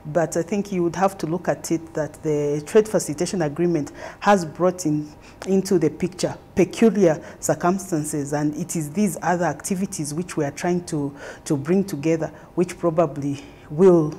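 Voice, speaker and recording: A woman's voice: low voice, warm tone, a little hiss or hum. A middle-aged woman from Zambia, a government trade official, explaining things fluently in an interview. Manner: fluent, explanatory, occasional fillers. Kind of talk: on-camera interview answers. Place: conference room indoors.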